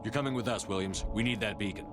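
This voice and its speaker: A man playing a steady, resolute military commander in a science fiction game, calm, terse and authoritative. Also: on the low side, quick pace, close to an everyday voice. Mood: steady, firm. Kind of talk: video game cutscene acting. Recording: loud music under the voice.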